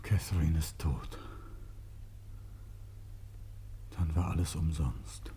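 A man's voice: very deep voice, normal speed, mellow, a little hiss or hum. A man playing a guarded, grieving suspect in a German radio crime play, speaking in a subdued, bitter way. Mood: shaken, resigned. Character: guarded, grief-stricken male suspect under police questioning. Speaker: actor in a radio crime drama.

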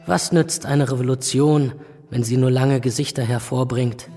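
A man acting a flamboyant royalist pamphleteer in a radio play. His gravelly voice rings with long reverb, rhetorical and sardonic, over faint music. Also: mid-range voice, steady tone, moderate pace, mellow, close to an everyday voice. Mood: sardonic, persuasive. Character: Louis Suleau, flamboyant royalist pamphleteer writing a letter. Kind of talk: radio drama letter monologue.

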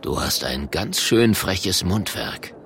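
A gruff old monster showman from a children's storybook, acted by a man. He is mocking and greedy, drags out his words with a creaky edge and swings widely in pitch when he hawks his show. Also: lively intonation, fairly bright tone, soft background music, full character voice. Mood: suspicious, mocking. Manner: drawn-out grumbling, barking showman calls.

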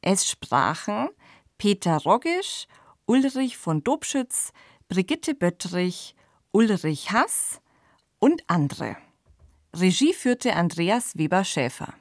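Woman podcast host, medium pitch, measured radio presenting, slow, very dry studio. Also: big pitch swings, no room sound.

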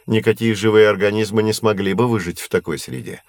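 Patient professor played by a male actor, low register, measured teaching delivery, dry close sound, educational audio drama. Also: no room sound, natural voice. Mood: instructive. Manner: explanatory, measured, didactic. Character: male astronomy professor, patient, knowledgeable teacher of curious children.

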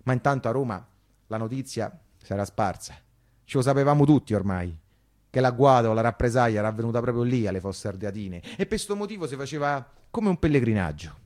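Actor as anguished working-class Roman storyteller. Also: male voice, medium pitch, expressive, brisk, a little mellow, lightly acted. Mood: urgent, troubled. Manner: insistent repetition, rhetorical questions, colloquial. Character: anguished working-class Roman nephew recounting wartime occupation horrors. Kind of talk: theatrical radio monologue storytelling.

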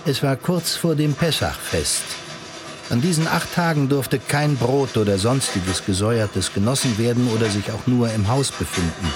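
A male narrator with a mid-pitched voice delivers literary narration. His manner is measured and explanatory, as in a scripted audio drama.

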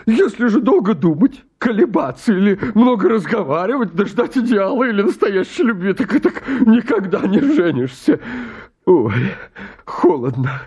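A man's voice: sits high, very animated, moderate pace, a little mellow, husky, exaggerated voice. An actor playing a flustered, stammering bachelor suitor in his thirties, anxious and hypochondriac, in a comic radio play. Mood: anxious, agitated. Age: 30s. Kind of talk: stage comedy acting in a radio play.

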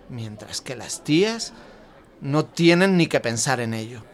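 A man's voice: mid-range voice, close to an everyday voice. An actor with an accent from Spain playing a thoughtful, outspoken man who confides intimately in a friend, reflective and hesitant, repeating words. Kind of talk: Spanish audio fiction dialogue.

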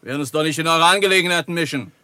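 An actor playing a chatty, folksy cowboy in a western radio drama, wary but friendly, with a brisk manner. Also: male voice, fairly high pitch, pushed and bright, no room sound. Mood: friendly, impressed.

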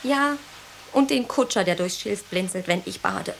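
A woman's voice: mid-range voice, lively intonation, unhurried, gravelly, fairly bright tone, slight background noise. An actress playing a vain, playful woman who teases her friend, in a theatrical radio play style. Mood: teasing. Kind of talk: 1990s German radio play acting.

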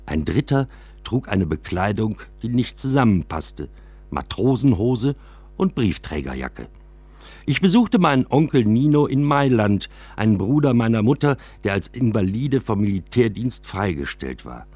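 Husky, highly expressive male storyteller. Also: measured pace, no echo. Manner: expressive, acts out quoted dialogue. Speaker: narrator and reader of a memoir. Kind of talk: scripted literary narration, first-person storytelling.

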